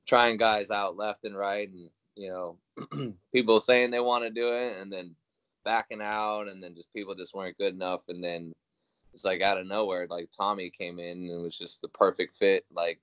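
A man's voice: low-pitched, a bit bright, no room sound, muffled recording. A young man from Iowa, talking casually and rambling with many fillers and restarts on a video call from a small room at home. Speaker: guest musician. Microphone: video call webcam.

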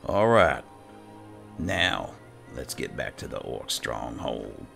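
Super deep voiced guy doing slow gravelly game roleplay commentary, music behind. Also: sing-song. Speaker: gaming channel host.

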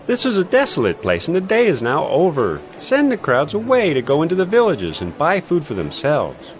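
An actor playing a concerned, practical male disciple in a dramatized scripture reading, questioning and animated. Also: on the high side, normal speed, a little hiss or hum. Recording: music bed behind voice.

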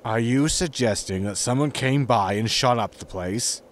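An actor playing a grumpy, money-minded spaceship captain, impatient and sardonic, in a sci-fi comedy audio drama. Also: male voice, mid-range voice, normal speed, a little hiss or hum, natural voice. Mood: puzzled, impatient.

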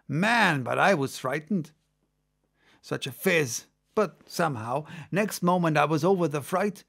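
A man playing an excitable second mate on a sailing ship, spinning a yarn in a dramatic audiobook reading. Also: on the high side, measured pace, gravelly, full character voice. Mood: animated yarn-spinning. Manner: conversational, exclamatory storytelling.

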